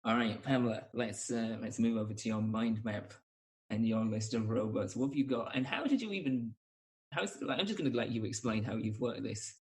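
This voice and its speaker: A man's voice: lowish voice, animated, brisk. A man hosting a casual conversation as a podcast host. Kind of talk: casual chat.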